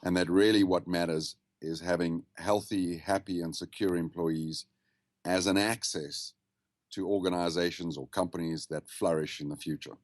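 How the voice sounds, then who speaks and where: Man, South African accent, low pitch, dry sound, measured, interview guest. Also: steady tone, no room sound. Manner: fluent, measured explanations. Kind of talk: podcast interview over a call. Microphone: video call.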